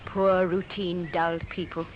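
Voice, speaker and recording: An actress playing an aloof, scornful young bohemian woman in an old-time radio drama, cool and dismissive in manner. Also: on the low side. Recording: muffled, noisy old radio recording.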